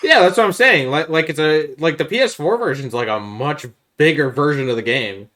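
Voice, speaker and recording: A man's voice: fairly high pitch, animated, fairly quick, sharp tone. Guy chatting casually about his opinions. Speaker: podcast co-host. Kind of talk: casual gaming podcast chat.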